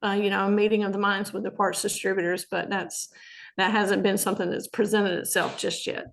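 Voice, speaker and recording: A woman's voice: mid-range voice, little pitch movement, fairly quick, gravelly, fairly bright tone. A woman speaking hesitantly and reflectively on a video call. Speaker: participant. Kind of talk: casual video call discussion.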